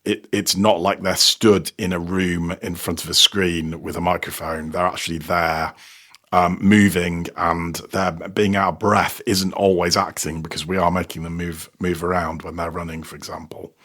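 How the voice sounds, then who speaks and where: A man with a deep, husky voice explains his work as an interview guest. He talks casually and haltingly, circling back over words as he forms each thought. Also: level tone, a bit bright. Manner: hesitant, repeats words while thinking. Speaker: sound designer and technical producer, podcast guest.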